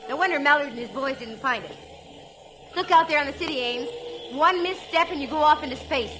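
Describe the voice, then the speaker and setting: An actress playing a sharp, breezy female private eye in 1940s radio style, brisk and teasing. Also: sits high, lightly acted, low-quality recording. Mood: urgent. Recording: vintage 1940s radio recording.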